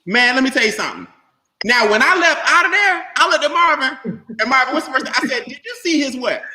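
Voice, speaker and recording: A man telling a long, animated story on a group video call as host and trainer, loud and laughing, with casual slang. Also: very high-pitched, quick pace. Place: home room on video call. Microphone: webcam or laptop mic on video call. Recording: echoey room, faint noise.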